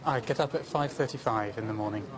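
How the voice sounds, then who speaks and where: A man's voice: mid-range voice, quick pace, background noise. A man giving plain, step-by-step answers in an interview.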